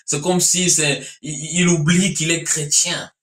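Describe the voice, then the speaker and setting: A man's voice: a little high, fairly even intonation, measured pace, fairly bright tone, echoey room. A man, preaching and teaching emphatically, often repeating his phrases. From Central Africa. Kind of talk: sermon-like teaching in a religious discussion.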